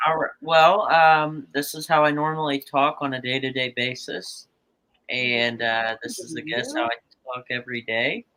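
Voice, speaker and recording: A man's voice: medium pitch, normal speed, pushed and bright, no echo, poor audio. A playful, teasing man, chatting casually on a livestream video call. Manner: playful, teasing, plays with accents.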